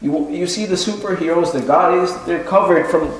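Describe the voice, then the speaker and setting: A man giving an Islamic religious lecture, explaining haltingly and repeating key phrases for emphasis. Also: high voice. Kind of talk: sermon-like lecture retelling and explaining a religious story. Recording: very echoey room with faint noise.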